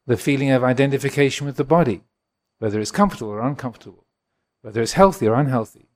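A man in his sixties from England, a Buddhist monk giving a gentle, reflective dharma talk and answering questions at a retreat. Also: medium pitch, fast. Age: 60s. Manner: gentle, reflective, chuckles often.

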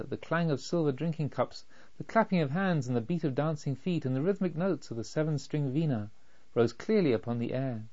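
A man reading a literary story as a narrator, with measured, deliberate phrasing. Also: on the high side, a little mellow. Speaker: audiobook narrator. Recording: slightly dull, lo-fi.

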